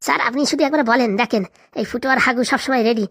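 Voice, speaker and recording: A woman's voice: high-pitched, steady tone, very fast, fairly bright tone. A woman voicing an eager, fawning young male henchman in a comedy cartoon, chattering boastfully with a rural Bengali accent.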